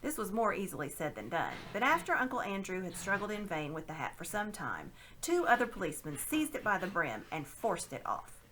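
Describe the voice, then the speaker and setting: A woman reading a children's story aloud with dramatic, expressive narration. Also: mid-range voice, a little fast, few pauses, slight background noise. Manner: dramatic, animated. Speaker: storybook reader.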